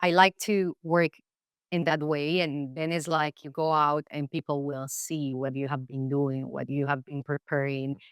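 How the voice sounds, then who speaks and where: A woman's voice: sits low, level tone, unhurried, clean tone, no room sound, clean studio sound. A Colombian woman whose first language is Spanish, speaking English with a Colombian accent, reflecting as an interview guest with many fillers and restarts. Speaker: guest actress. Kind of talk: casual interview chat.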